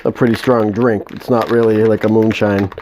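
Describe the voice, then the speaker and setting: A man's voice: fairly low pitch, flat delivery, noisy background. A man with a New England accent giving a casual home kitchen demonstration, mumbling and restarting often. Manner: mumbling, halting, many restarts. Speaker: home cook demonstrating a recipe.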